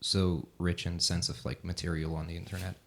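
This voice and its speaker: A man hosting a casual podcast chat, asking curious questions in a relaxed way. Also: sits low, fairly quick, slight background noise. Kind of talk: casual conversation.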